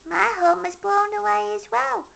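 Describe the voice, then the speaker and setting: A woman voicing a kind, brave little male hedgehog in a storybook, speaking in a bright, eager, gentle way. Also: extremely high pitch, unhurried, vocal fry, pitched above the performer's own voice, exaggerated voice.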